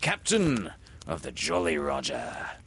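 A man's voice: extremely low pitch, wildly swooping pitch, takes it slowly, a bit bright, slight background noise, full character voice. A man voicing a cheeky impersonator of a pirate captain, taunting and theatrical, in a storybook. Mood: boastful. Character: cheeky boy mimicking a booming pirate captain.

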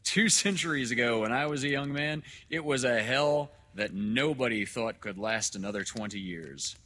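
A man voicing an overbearing elderly male doctor in a storybook reading, lecturing loudly and emphatically. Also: medium pitch, animated, normal speed, voice pushed up. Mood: condescending, effusive.

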